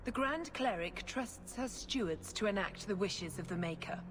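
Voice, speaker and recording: A cold and righteous cleric from a video game , played by a woman, who speaks with deliberate, formal condescension. Her mid-pitched voice rises and falls widely. Ambient noise is clearly heard behind her. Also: animated, natural voice. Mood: cold, condescending. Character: haughty, scheming female cleric, cold and righteous. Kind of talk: video game cutscene acting.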